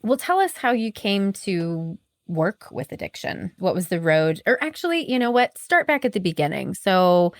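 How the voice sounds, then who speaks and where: A woman's voice: medium pitch, big pitch swings, moderate pace, few pauses, dry recording. A woman hosting a podcast in a casual chat, affirming her guest often and explaining ideas in a loose, conversational way. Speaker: podcast host.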